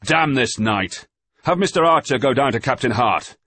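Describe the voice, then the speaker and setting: A man voicing a ship's sailing master who shouts orders over a storm in a raised, strained voice. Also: medium pitch, animated, normal speed, slightly rough, dry recording, pitched above the performer's own voice. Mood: shouting urgently. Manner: shouting, urgent, commanding.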